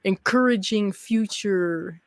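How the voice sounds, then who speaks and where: A young adult host chats casually through a livestream interview. The voice sits at a middle pitch and sounds close and dry. Short agreeing interjections pepper the talk. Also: slow pace, no room sound.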